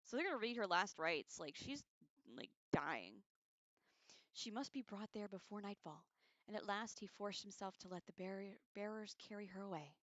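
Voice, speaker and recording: A woman hosting a casual livestream read-along, expressive and informal, reading aloud and adding chatty, slangy asides. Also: medium pitch, normal speed, no room sound, muffled recording. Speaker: livestream host reading a book aloud with commentary.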